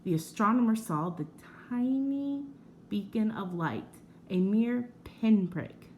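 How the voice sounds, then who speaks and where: A woman reading a children's nonfiction book aloud like a teacher, in an expressive, measured storytelling manner with drawn-out emphasis. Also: mid-range voice, lo-fi sound, a little hiss or hum.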